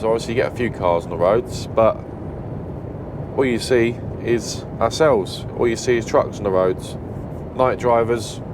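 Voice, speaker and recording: A British man driving a truck at night, chatting casually with a blocked nose, rambling and correcting himself. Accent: British English. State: stuffy blocked nose, sniffling. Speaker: truck driver vlogger. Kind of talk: casual driving vlog commentary. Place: truck cab at night on a motorway. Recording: loud engine rumble in cab.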